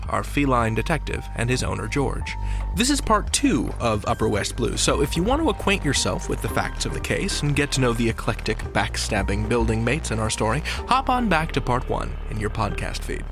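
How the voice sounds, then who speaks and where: A man hosting a podcast, reading scripted narration with a theatrical storytelling manner. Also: medium pitch. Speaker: podcast host.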